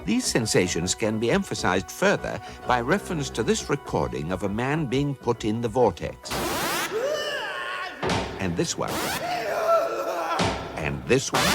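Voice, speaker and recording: An actor playing an urbane guidebook narrator in a comic sci-fi radio drama, formal, measured and deadpan. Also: male voice, on the low side, full character voice. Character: urbane male guidebook narrator, calm, drily ironic.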